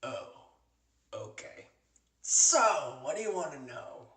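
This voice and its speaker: A man's voice: on the high side, very animated, slow, reverberant, slight background noise, full character voice. A man voicing an unhinged, brooding, articulate homicidal maniac in a theatrical comic dub. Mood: eerily friendly. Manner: theatrical, erratic, swinging from hushed to shrieking.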